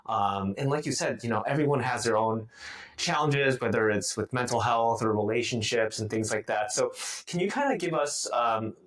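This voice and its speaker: A man's voice: mid-range voice, fairly bright tone. A man hosting a podcast in person at a guest's home, introducing and questioning his guest in a loose, conversational way. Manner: loose and conversational, with "you know" and "um". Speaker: podcast host. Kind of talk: friendly interview hosting.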